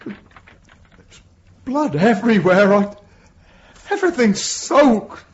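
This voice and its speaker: Frightened, high-voiced servant lad. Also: male voice, lively intonation, slow. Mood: shaken. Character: frightened young servant man, anxious and deferential. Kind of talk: radio drama acting. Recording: dull lo-fi audio with noise.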